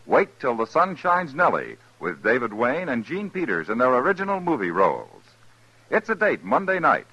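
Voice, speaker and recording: Bright, animated American radio announcer, a man. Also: medium pitch, breathy edge, lo-fi sound. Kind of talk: 1950s network radio announcing. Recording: thin, echoey vintage radio recording.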